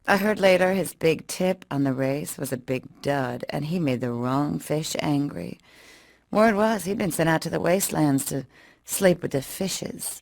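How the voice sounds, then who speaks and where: A sultry, scheming female cartoon fish. Her lowish voice is haughty and self-satisfied, stretching words for effect. The game monologue sounds close and dry. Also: gravelly, darkish tone, no echo. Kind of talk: video game cutscene monologue.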